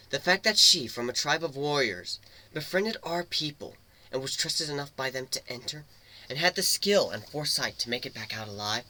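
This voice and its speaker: A man voicing a dignified, solemn female tribe warrior, with a formal and measured manner in a dramatic reading. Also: medium pitch, pushed and bright, low-quality recording. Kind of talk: dramatic reading of fan fiction.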